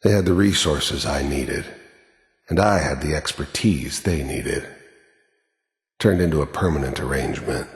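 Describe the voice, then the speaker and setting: Hardened game mercenary, extremely low gruff voice, American accent, cynical, terse, world-weary, echoing reverb. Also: male voice, unhurried, pauses often. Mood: cold, reflective. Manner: terse, matter-of-fact, sardonic. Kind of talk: video game character monologue and dialogue. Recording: echoey hall-like reverb.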